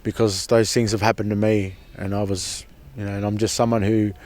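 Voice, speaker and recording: Guy, really low voice, earnest and passionate, keeps restarting words. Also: level tone, brisk, a little mellow. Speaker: protester at a sacred site camp. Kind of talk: impassioned personal testimony.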